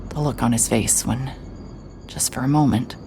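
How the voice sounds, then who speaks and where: An actress playing a hard-hearted private investigator who stammers and restarts when anxious, also narrating in first person. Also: female voice, rumbling low, normal speed, music in the background. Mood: reflective. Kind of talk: audio drama acting and first-person narration.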